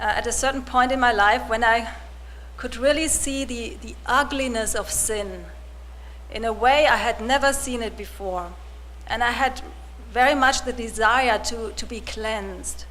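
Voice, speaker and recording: A woman in her forties, from Germany, giving a personal testimony to a congregation in a soft-spoken, hesitant way with many restarts. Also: on the high side, a little hiss or hum. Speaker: church volunteer giving testimony.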